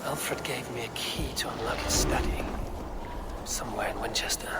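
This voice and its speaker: An actor playing a stern, watchful Norse warrior in a video game, with a terse and grave delivery. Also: male voice, medium pitch, moderate pace, very noisy, close to an everyday voice. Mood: hushed, curious. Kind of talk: dramatic video game acting. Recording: game audio under loud music. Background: loud music.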